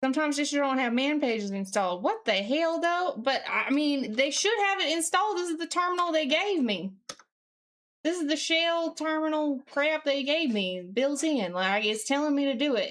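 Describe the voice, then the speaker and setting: A woman with a distinctly high voice chats casually on a live stream. She puts on a Southern US accent and talks in a folksy, mildly sweary manner as she works at her computer. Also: lively intonation, moderate pace, a bit bright. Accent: put-on Southern US.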